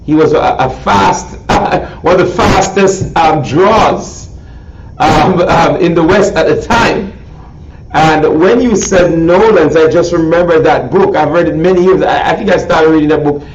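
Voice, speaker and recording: A middle-aged man with a Jamaican accent hosting a casual interview, talking haltingly with many ums and repeated words. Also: a little high, noisy background. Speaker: talk show host. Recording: echoey distant lo-fi video call.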